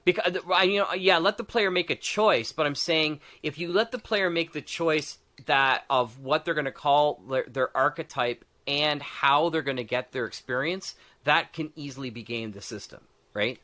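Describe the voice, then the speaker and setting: A man in a casual discussion of tabletop game design, talking in a halting way as he thinks aloud and often checks for agreement. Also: fairly high pitch, bright, forceful tone. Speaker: podcast co-host.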